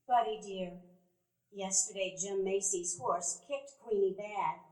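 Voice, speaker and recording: In an echoey live hall, a woman plays an elderly Southern woman for a radio play. She is folksy and plain-spoken, devout and tender, with a middling pitch and a simple-hearted way of putting things. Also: a little slow, lightly acted. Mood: gentle, sorrowful. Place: event hall before live dinner-theater audience. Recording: echoey live theater stage.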